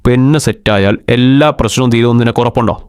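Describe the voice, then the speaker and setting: A man's voice: medium pitch, fast, darkish tone, clear, resonant tone, no room sound, studio quality, natural voice. A young man from Kerala voicing a bossy, scheming cartoon friend who lectures the others.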